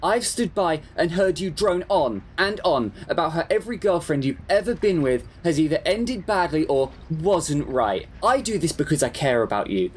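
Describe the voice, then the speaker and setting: Radio drama role: well-meaning but exasperated man played by an actor, very animated pitch, earnest delivery, faint noise. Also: normal speed, few pauses. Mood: hurt, reproachful. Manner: earnest, pointed.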